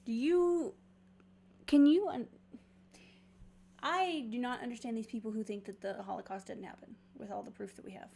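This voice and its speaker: A woman's voice: a little high, moderate pace, vocal fry, long pauses, dark tone. Chatty young woman leading the talk. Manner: chatty, asks questions, steers the talk. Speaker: podcast co-host. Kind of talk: casual chat.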